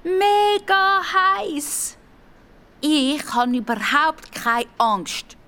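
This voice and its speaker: A woman's voice: high-pitched, extremely slow, sharp tone, voice pushed up, exaggerated voice. A woman voicing eager, exclaiming young children, adventurous friends in a children's audio story. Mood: playful pretending.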